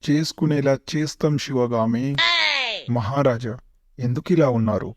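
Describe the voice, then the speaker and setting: A man's voice: medium pitch, normal speed, darkish tone, no echo, studio quality, lightly acted. A man voicing a scheming royal servant in a cartoon parody, blunt and matter-of-fact. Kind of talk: animated parody comedy voice acting.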